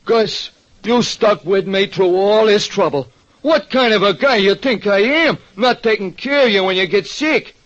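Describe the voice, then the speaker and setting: High-pitched, plaintive American diner owner. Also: male voice, measured pace, a bit bright. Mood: gloomy, hurt. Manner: slangy, ungrammatical, plaintive. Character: excitable, gloomy, working-class male diner owner. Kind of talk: 1940s radio acting. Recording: dull, noisy old radio recording.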